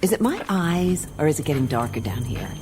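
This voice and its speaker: No-nonsense female adventurer, wry and animated, slightly low, for radio drama. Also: fairly quick, over music. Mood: uneasy, sceptical. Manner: wry, sceptical, blunt. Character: cynical, tough, no-nonsense female adventurer boss. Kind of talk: comic radio play acting.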